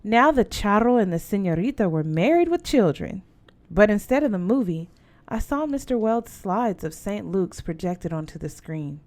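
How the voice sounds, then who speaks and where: A woman's voice: mid-range voice, big pitch swings, moderate pace, darkish tone. A woman narrating a first-person story as an audiobook reader, in a scripted storytelling style.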